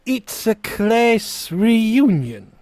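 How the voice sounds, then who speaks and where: A man plays a polite nightclub waiter with sly salesman patter. His voice is high and unhurried. The sound is close and dry. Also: big pitch swings, a little mellow, no echo, heavily acted. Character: smooth, upselling nightclub waiter.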